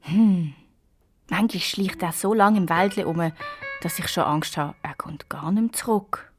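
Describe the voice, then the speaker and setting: A woman playing an excitable young twin girl detective with a Swiss German accent, bossy but caring, in a children's audio drama. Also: lowish voice, measured pace, soft background music, lightly acted. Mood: thoughtful.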